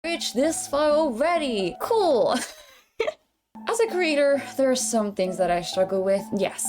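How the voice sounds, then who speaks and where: A woman's voice: sits high, very animated, slow pace, darkish tone. A woman VTuber narrating her own video casually, with a wry, playfully grumbling manner. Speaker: VTuber and content creator. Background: background music.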